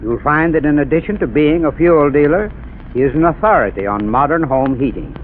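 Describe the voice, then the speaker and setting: A man's voice: on the high side, animated, brisk, slight background noise. A man reading a scripted 1930s radio commercial in an earnest, friendly manner. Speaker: advertising spokesman. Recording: tinny 1930s radio broadcast.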